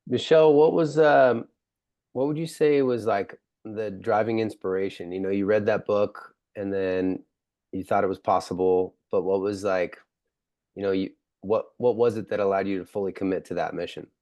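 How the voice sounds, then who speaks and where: A man's voice: fairly low pitch, steady tone, moderate pace, dark tone. A man asking a question, hesitant and searching for his words. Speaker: audience member asking a question.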